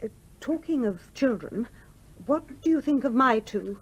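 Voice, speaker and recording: A woman's voice: a little high, expressive, a little slow, lo-fi sound, slight background noise. An actress in a radio play playing a genteel, scrupulous mother who is polite but flustered and argumentative. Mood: defiant.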